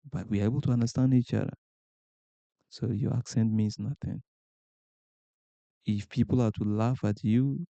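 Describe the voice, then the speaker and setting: A man's voice: fairly low pitch, vocal fry, warm tone, dry recording, dull audio. A man from Ghana with a Ghanaian accent, hosting a podcast and giving earnest motivational advice to camera, asking rhetorical questions and repeating points for emphasis. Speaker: podcast host.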